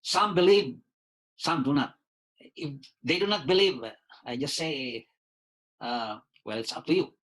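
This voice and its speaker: Halting Filipino man in his sixties. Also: on the high side, animated, a bit bright, poor audio. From Philippines. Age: 60s. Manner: halting, many "uh" pauses, repeats words, says "you see" often. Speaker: doctor and lawyer giving a product testimonial. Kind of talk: casual spoken testimonial.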